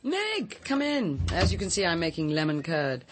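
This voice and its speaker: Low, composed English woman, reverberant. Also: wildly swooping pitch, normal speed, noisy background. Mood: hospitable. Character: composed, practical, self-possessed English woman. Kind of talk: radio drama acting.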